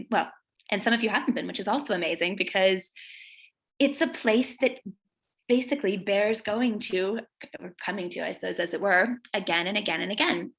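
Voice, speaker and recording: A woman's voice: medium pitch, fairly bright tone. A woman from North Carolina in her thirties, giving a rambling, filler-heavy talk over a video call. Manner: chatty, rambling, many fillers and self-corrections. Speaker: guest speaker, former tour guide. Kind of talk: live online presentation.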